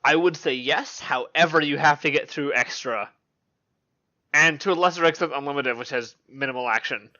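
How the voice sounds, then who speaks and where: Forceful man on a dull lo-fi mic. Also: a little high, moderate pace. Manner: enthusiastic, joking, teasing. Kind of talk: casual podcast discussion.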